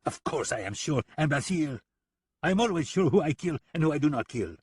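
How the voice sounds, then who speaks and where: An arrogant gunman who taunts everyone around him, as a male narrator puts him on. The voice rides high and haughty, a little husky, and sits close and dry. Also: very animated, brisk, darkish tone, no room sound. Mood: mocking. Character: smug foreign male hired killer, mocking and arrogant.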